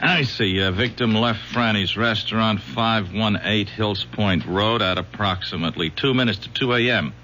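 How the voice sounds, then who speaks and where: An actor in a 1970s radio drama playing an earnest, sceptical young police detective with a clipped, probing manner. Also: male voice, fairly low pitch, normal speed, few pauses, pushed and bright. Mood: dry, official. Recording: hissy, dull old radio broadcast.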